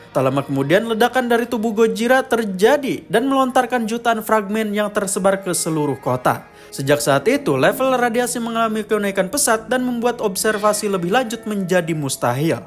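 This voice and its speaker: A man narrating a scripted explainer of a horror story. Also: sits high. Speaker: narrator of a film lore channel.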